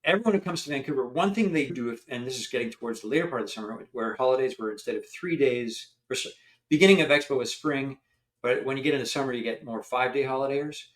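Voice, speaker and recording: An older man from Canada, a founder, is a guest on a podcast. His voice sits in the middle register, and he tells his stories in a chatty, animated way. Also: medium pitch, fast talker. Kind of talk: storytelling in an interview.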